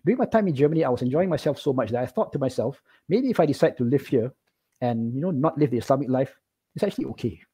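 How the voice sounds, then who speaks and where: A middle-aged Singaporean man speaks very quickly in a soft-edged, mellow voice with some huskiness. He tells his own stories in a casual, animated way, like a guest in conversation. He keeps checking in with the listener as he goes. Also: mid-range voice, breathy edge. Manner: animated, checks in with "right?" often. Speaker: interview guest. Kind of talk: casual personal storytelling.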